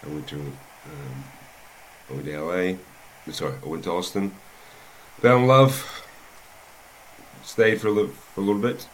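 Deep-voiced New Zealand man telling stories. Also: a little hiss or hum, low-quality recording. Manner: soft, unhurried storytelling with frequent "um" pauses. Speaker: rugby head coach, guest. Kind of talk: casual interview, telling his life story.

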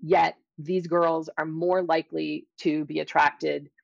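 A woman's voice: low voice, little pitch movement, slow pace, gravelly, no room sound, dull audio. A woman speaking as an expert guest in an interview, explaining science carefully and precisely with qualifications. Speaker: guest, evolutionary biologist and author.

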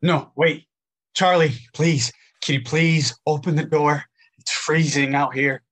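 An actor playing a young, homeless chorus actor from Arizona, earnest and pleading, in an audio drama. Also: male voice, fairly high pitch, fairly even intonation, takes it slowly, fairly bright tone. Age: young adult. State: shivering from cold.

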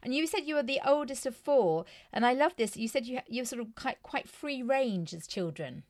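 A woman's voice: sits high, animated, normal speed. Gushing British woman hosting a podcast. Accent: British English. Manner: warm, effusive, enthusiastic. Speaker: podcast host. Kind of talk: podcast interview.

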